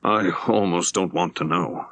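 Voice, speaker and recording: Guy doing a worried old-school bishop for an audiobook, formal and hesitant, kinda low, very dry sound. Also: unhurried, fairly bright tone, no room sound. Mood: troubled, uneasy. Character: troubled, careful senior male bishop.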